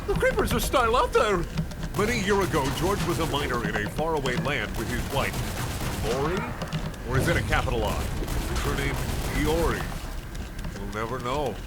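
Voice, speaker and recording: A man's voice: mid-range voice, very animated, moderate pace, heavy background noise. A man reading bad fan fiction aloud as a comic drama, mock-earnest and exaggerated, with sudden outbursts. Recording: loud music behind the voice.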